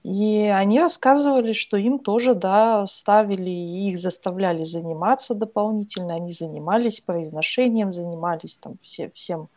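A woman joining a discussion who recalls her own experience in a lively, emphatic way. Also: medium pitch, normal speed, few pauses, dry recording. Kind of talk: conversational discussion. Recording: dry, dull lo-fi sound.

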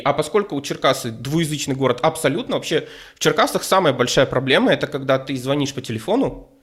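Hesitant man. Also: fairly high pitch. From Ukraine. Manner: hesitant, with many fillers and restarts, careful wording. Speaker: guest. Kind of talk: casual conversational storytelling.

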